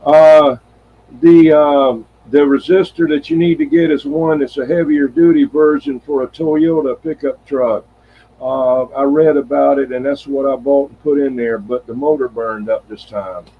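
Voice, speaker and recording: Male CB radio host, husky and folksy, loose rambling delivery, muffled lo-fi radio audio. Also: mid-range voice, fairly even intonation, measured pace. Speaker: host of a CB radio live stream. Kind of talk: casual CB radio chat. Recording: dull, lo-fi CB radio sound.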